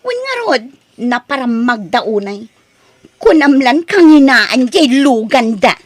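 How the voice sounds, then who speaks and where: A woman's voice: high voice, big pitch swings, slow pace, exaggerated voice. A woman playing an envious, proud village wife and scornful gossip in an Ilocano audio drama, with an Ilocano accent and haughty, emphatic delivery.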